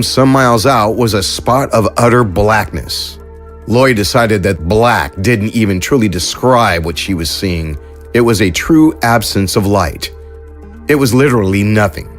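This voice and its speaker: Low-voiced male story narrator.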